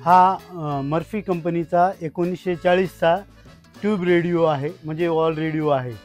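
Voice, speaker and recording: A man's voice: a little high, fairly even intonation, unhurried, darkish tone, faint music behind. A man talks informally as a collector, explaining his items in short phrases. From India. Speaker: radio collector being interviewed.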